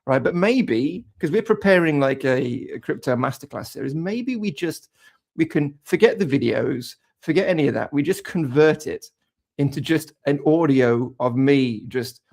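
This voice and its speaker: A man's voice: on the high side, expressive, vocal fry, darkish tone. A man co-hosting a trading stream as the crypto analyst, joking playfully and teasing his partner. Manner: playful, teasing, quick asides. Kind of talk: joking banter.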